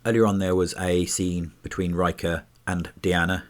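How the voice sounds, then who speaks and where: A man giving a casual spoken review of a TV episode. His voice is stuffy and congested, and he talks in a chatty, measured way with small restarts. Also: deep voice, flat delivery.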